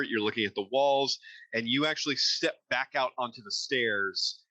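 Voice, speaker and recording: A man's voice: on the low side, pushed and bright, no room sound, dull audio. A man acting as game master, narrating a tabletop fantasy game in a casual, improvised way.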